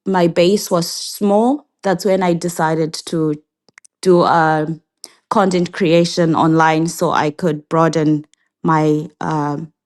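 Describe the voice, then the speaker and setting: Low, unhurried woman in her thirties from Zimbabwe. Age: 30s. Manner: reflective, many filler pauses and restarts. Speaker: guest. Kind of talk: casual interview answers.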